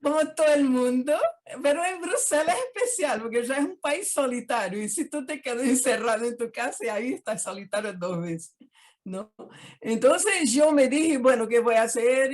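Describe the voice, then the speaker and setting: An older Brazilian woman speaking Spanish with a Portuguese accent, chatting expressively over a video call and dotting her talk with tag questions. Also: a little high. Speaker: guest artist. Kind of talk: casual interview chat.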